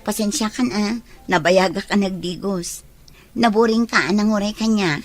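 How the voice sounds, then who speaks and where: A woman's voice: mid-range voice, normal speed, natural voice. A woman playing a hospitable, easygoing young friend in an Ilocano audio drama. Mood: hospitable, casual.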